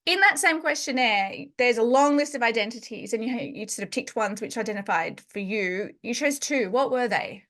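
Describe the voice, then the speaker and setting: A woman's voice: a little high, lively intonation, moderate pace, hardly any pauses, fairly bright tone. A woman hosting a podcast, asking thoughtful, probing interview questions. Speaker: podcast host.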